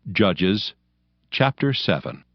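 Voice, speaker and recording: Low-voiced solemn male scripture narrator. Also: big pitch swings. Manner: solemn, measured. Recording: dull sound, faint music behind.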